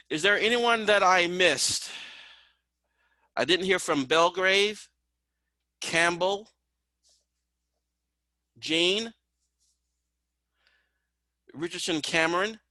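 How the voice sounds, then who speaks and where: A man's voice: a little high, no echo. A man teaching a college course online, calling the roll and walking through the syllabus with frequent fillers. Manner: relaxed, many "uh" fillers, calling out names. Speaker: college instructor. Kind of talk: online class intro and syllabus walkthrough. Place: online video class.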